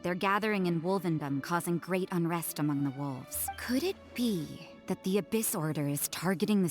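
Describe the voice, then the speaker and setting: Game role, female actor: aloof young nun, lowish voice, curt deadpan delivery, faint music bed. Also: lightly acted. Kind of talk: anime-style video game voice acting. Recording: music in the background.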